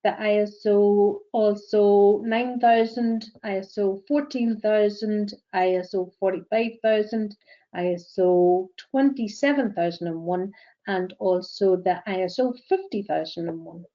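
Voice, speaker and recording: A woman's voice: medium pitch, highs cut off. Northern Irish woman presenting a webinar. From Northern Ireland. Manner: measured, with frequent ums and uhs. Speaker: webinar presenter, greenhouse gas verifier.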